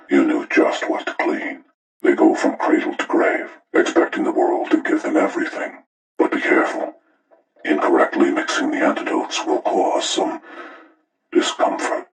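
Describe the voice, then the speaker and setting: An actor playing a cold, menacing older male serial killer who delivers deliberate, ominous, moralizing monologues in a breathy, gravelly rasp for a horror video game. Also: very deep voice, echoey room, rough recording.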